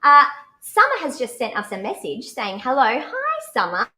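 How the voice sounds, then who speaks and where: An Australian woman presenting a live children's show, animated and exclamatory as she speaks to young viewers. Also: high voice, moderate pace. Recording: roomy, echoey live stream sound.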